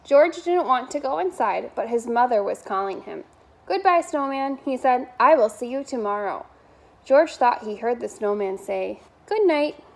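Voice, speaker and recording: A woman's voice: on the high side, expressive, normal speed, gravelly, rough recording. A woman reading a children's bedtime story aloud as a member of school staff.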